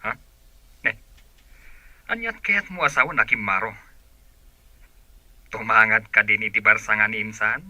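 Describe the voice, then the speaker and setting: A man playing a brash, suspicious antagonist in a radio drama, speaking in a loud, taunting manner. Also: fairly low pitch, a little slow, pauses often, dry recording. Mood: suspicious, demanding.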